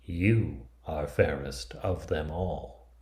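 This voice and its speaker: A man's voice: a bass voice, very slow, darkish tone, voice pushed down, full character voice. A man reading the part of a solemn, truthful magic looking-glass in a fairy tale, with formal pronouncements. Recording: roomy, echoing sound.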